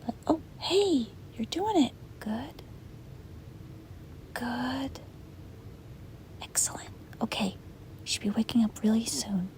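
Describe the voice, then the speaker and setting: A woman playing a reluctant, apologetic sleep paralysis demon in a soft, hesitant, breathy ASMR whisper. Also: a little high, animated, takes it slowly, long pauses. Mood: encouraging. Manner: hesitant, rambling, soft-spoken. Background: rain and wind.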